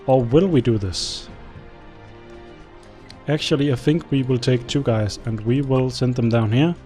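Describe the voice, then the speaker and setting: A man's voice: medium pitch, mellow. A young man whose first language is Danish, casually commenting on a strategy game as he plays, thinking aloud and talking to his units. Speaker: gameplay commentator. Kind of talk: casual let's-play commentary.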